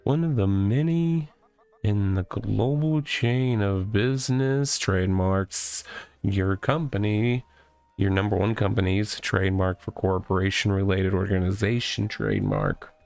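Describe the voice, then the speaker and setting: A proud, rambling corporate mascot in a cardboard box, acted out by a man reading a visual novel. The delivery is slow and halting, earnest yet boastful, with plenty of gravel in it. Music plays behind the voice. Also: lowish voice, expressive, a little mellow, dry recording. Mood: confiding, proud. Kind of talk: comedic character read-aloud of a visual novel.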